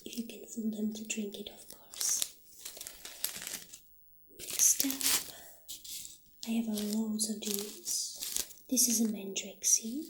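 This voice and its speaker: A woman speaking softly and breathily, often near a whisper, in a gentle ASMR roleplay as a witch shopkeeper. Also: mid-range voice, steady tone, slow, long pauses. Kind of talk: soft-spoken, half-whispered ASMR roleplay. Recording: roomy echo, audible background noise.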